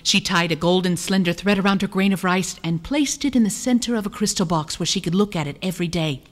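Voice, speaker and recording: A woman telling a children's fairy tale in an expressive, deliberate, dramatic storytelling manner. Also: fairly low pitch. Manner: dramatic, deliberate, acts out characters.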